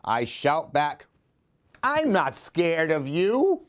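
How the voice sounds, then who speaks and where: A man reading a children's story aloud in an expressive, theatrical, singsong way. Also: fairly high pitch, extremely slow. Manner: theatrical, singsong rhyming delivery.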